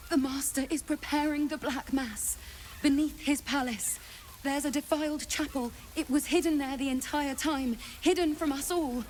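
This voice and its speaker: A woman plays a fearful vampire spawn in a fantasy game. Her voice is high, earnest and uneasy, with dramatic weight and faint noise behind it. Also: fairly even intonation, normal speed, darkish tone, natural voice. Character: anxious, dutiful female vampire spawn, fearful and pleading. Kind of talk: dramatic video game voice acting.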